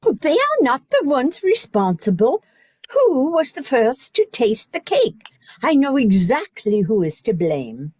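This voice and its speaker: A woman's voice: sits high, huge pitch swings, measured pace, darkish tone, breathy edge, no room sound, studio quality, full character voice. A woman playing a stern, indignant noble lady and mother in a comic radio play, theatrical and exclamatory. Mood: knowing.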